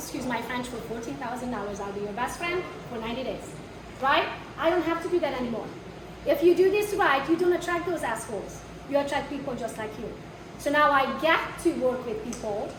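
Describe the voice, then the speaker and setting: Accented woman trainer, high voice, blunt and brash with a live audience, echoey room, distant mic. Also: noisy background. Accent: foreign. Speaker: real estate marketing trainer. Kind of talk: live workshop talk to an audience.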